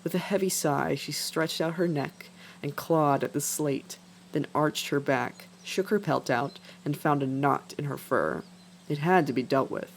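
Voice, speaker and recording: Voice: medium pitch, measured pace, vocal fry, slight background noise. A narrator reading an animal adventure story as scripted narration. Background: faint background music.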